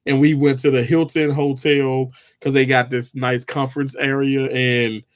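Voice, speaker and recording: A man's voice: mid-range voice, little pitch movement, moderate pace. A man, chatting casually with viewers on a livestream, rambling with lots of fillers and restarts. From Mississippi, US. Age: 30s. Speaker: livestream host.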